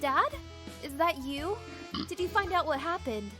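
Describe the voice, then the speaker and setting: A woman's voice: high voice, expressive, unhurried, loud music behind the voice. A woman voicing a determined, independent young hospital worker in a visual novel game, earnest and firm. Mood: anxious.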